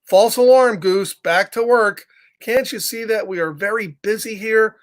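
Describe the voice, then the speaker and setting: A man's voice: high voice, a little slow, voice pushed up. Man reading as an earnest, self-important storybook goose. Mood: bossy.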